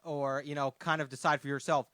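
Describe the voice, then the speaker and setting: Man, mid 20s, podcast co-host, laid-back, bright edgy tone, dry sound. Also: a little high, flat delivery, a little fast, no echo. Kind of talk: casual podcast interview.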